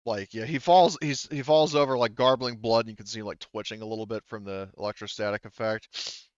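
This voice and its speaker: Low, smooth-voiced man running a game. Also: fairly even intonation, a little fast, dry recording, highs cut off. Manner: casual, explains rules step by step. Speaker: game master. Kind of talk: casual tabletop game refereeing.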